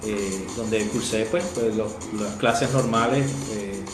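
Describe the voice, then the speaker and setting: A Puerto Rican man in his forties, an actor answering interview questions, reminiscing with many hesitant pauses and fillers. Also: lowish voice, steady tone. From Puerto Rico. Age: 40s. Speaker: actor guest. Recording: roomy echo with music behind.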